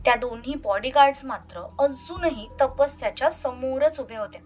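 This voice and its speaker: A woman's voice: high voice, moderate pace, a little mellow, faint music behind. A woman narrating an audio love story in a dramatic scripted style.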